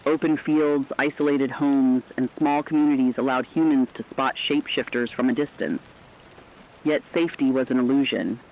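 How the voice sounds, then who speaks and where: Man, medium pitch, serious measured narration, dramatic documentary script, dull lo-fi recording, rain behind.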